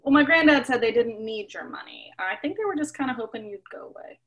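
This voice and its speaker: A woman's voice: fairly high pitch, animated, quick pace, a bit bright. A woman playing a chatty young analyst. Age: 20s.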